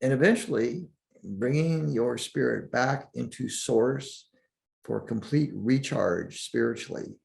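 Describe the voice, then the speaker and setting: Slow, gravelly male meditation guide. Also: medium pitch, a little mellow. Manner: soothing, deliberate, with long pauses between short phrases.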